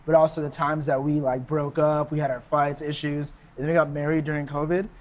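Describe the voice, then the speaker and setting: A man who works as a pastor, a podcast guest talking in an easygoing, agreeable way. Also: a little high. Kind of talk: casual chat.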